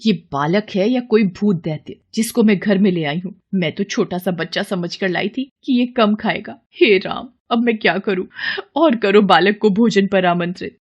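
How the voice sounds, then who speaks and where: A woman's voice: medium pitch, moderate pace, breathy edge, lo-fi sound, natural voice. A woman voicing a stingy, complaining and easily exasperated housewife in a devotional storybook tale. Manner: complaining, scheming.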